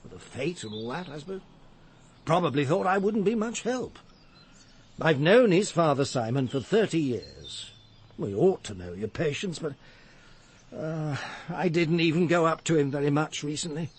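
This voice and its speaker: An actor playing a blustery, long-practising country doctor who speaks haltingly and excitably in a radio drama. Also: male voice, on the high side, breathy edge. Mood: uneasy.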